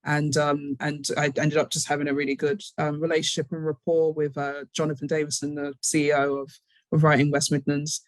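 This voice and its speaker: A woman's voice: sits low, level tone, brisk, dry recording, rough recording. Woman, British accent, dry sound, casual interview guest, hesitant, self-deprecating.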